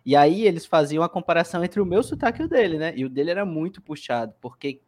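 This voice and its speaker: A man's voice: fairly high pitch, animated, fast, a little mellow. A man from Fortaleza with a Fortaleza accent, chatting casually on a podcast, swearing freely and ending lines with tag questions. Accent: Fortaleza, Ceará. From Fortaleza, Brazil. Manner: chatty, swearing, with many rhetorical tags. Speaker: podcast co-host.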